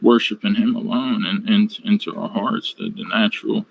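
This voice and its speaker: Guy telling his faith story on a video call, halting, lowish voice. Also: vocal fry, slight background noise, rough recording. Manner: reflective, halting, searching for words. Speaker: study group participant. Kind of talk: personal faith testimony.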